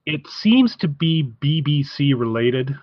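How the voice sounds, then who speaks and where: A man co-hosting a casual review podcast, talking haltingly as he repeats and rephrases his way through each point. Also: fairly high pitch, sing-song, no room sound. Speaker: podcast co-host. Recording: dry, slightly dull podcast audio.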